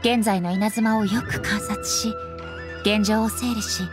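An actress playing a solemn, composed female ruler in an anime game, speaking formally and earnestly with measured politeness. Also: mid-range voice, fairly even intonation, over music, lightly acted. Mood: calm resolve. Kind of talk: scripted anime game dialogue.

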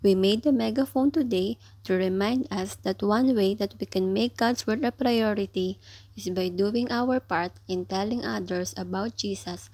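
A young woman teaching children in church, giving gentle, careful craft instructions. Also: medium pitch, normal speed. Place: small room at home, indoors.

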